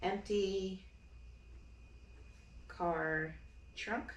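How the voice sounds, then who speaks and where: A woman chatting casually in a rambling home vlog, her voice deep and groggy from just waking up. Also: steady tone, very slow. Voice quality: deep, gravelly. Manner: rambling, chatty, full of fillers. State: groggy morning voice. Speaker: vlogger. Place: her apartment.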